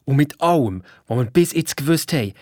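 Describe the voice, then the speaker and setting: A man's voice: fairly high pitch, expressive, a little fast, a little mellow, no echo, clean studio sound, lightly acted. An actor playing an impulsive, cheeky young boy with a Swiss German accent, telling his adventure in an audio drama. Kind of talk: colloquial first-person storytelling in an audio drama.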